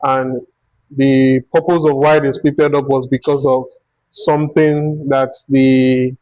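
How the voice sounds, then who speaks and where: A man's voice: mid-range voice, flat delivery, slow, no echo, muffled recording. A man with a Nigerian accent hosting a conversational interview, thinking aloud in long, winding turns. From Akwa Ibom, Nigeria. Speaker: interview host.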